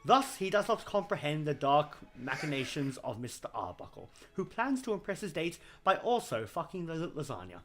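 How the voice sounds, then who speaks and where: Man, comedic story reader, theatrical, slightly high voice, wildly varied intonation. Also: very animated, normal speed, few pauses, a bit bright, faint music behind. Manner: theatrical, mock-dramatic. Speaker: fan fiction reader.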